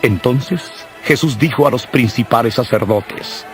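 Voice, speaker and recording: A man narrating scripture from a script in a solemn, measured manner. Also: mid-range voice. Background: music.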